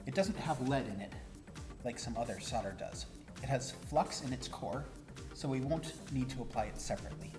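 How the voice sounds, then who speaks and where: A woman instructor presenting a hands-on tutorial, explaining step by step and prompting with questions. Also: very deep voice. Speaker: presenter and instructor of a DIY electronics show. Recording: roomy echo, music behind. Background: background music.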